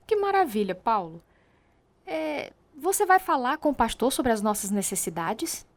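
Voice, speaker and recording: A woman's voice: a little high, big pitch swings, normal speed. A woman playing a preacher's concerned, supportive wife in a radio drama. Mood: concerned, hopeful.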